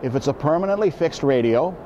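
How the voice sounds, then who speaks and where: A man, explaining things informally as an expert interview guest in a halting way, repeating words. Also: on the high side, expressive, mellow. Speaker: boating program manager, expert guest. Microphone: lapel mic. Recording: slightly dull, faint background noise.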